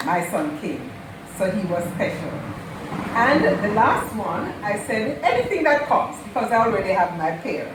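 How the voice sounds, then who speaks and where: A woman, the host's mother, fondly telling stories about her daughter's childhood at an unhurried pace and repeating herself for emphasis. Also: mid-range voice, very animated. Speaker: mother of the channel host, guest. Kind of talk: casual storytelling. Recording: echoey room, distant mic, noisy.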